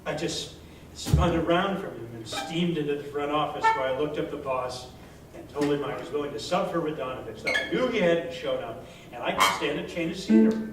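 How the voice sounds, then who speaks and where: Male American reader for streetwise hardboiled fiction, slightly high voice, live on stage with loud guitar and harmonica. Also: animated, brisk. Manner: colloquial, streetwise storytelling. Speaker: story reader. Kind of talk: dramatic reading of a hardboiled short story in first person. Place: indoor arts venue, live performance. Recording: echoey live venue, distant mic, music behind. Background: live guitar, harmonica and percussion music.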